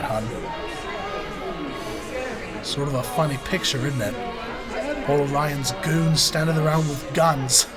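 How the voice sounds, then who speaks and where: A man's voice: mid-range voice, natural voice. A man playing a plain-spoken, protective husband in a radio drama. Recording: loud music and crowd behind.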